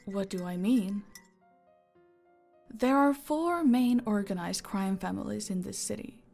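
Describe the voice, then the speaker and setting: A woman playing a calm, dominant female mafia boss in an audio roleplay, teasing and deliberate, often slipping into a breathy whisper. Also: mid-range voice. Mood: calm, explaining. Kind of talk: roleplay audio acting, intimate and slow. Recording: music behind the voice.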